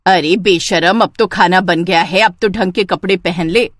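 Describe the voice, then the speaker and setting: A woman voicing an exasperated older mother-in-law in a family story, sharp and reproachful. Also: lowish voice, normal speed, no echo, clean studio sound, lightly acted. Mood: stern.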